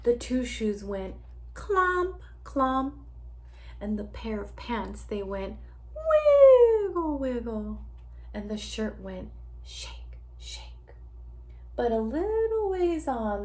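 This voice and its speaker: A woman teacher reading a picture book aloud to young children in an animated way, drawing out sound words and inviting them to join in, with some background noise. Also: on the high side.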